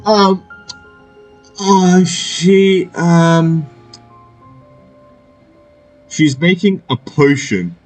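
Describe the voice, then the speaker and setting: A man giving casual, halting gameplay commentary in short, offhand reactions. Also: fairly high pitch. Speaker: gameplay streamer. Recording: faint game music behind.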